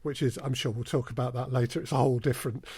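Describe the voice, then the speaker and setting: A man's voice: mid-range voice, fairly even intonation, fast talker, warm tone, breathy edge, dry recording, studio quality. A man speaking as a podcast guest and audio drama producer, chatting in a thoughtful, slightly hesitant conversational way. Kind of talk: casual interview chat.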